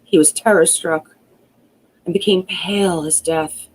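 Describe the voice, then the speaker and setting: A woman narrating a fairy tale as a storyteller, deliberate and dramatic. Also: on the low side, steady tone, slightly rough, low-quality recording.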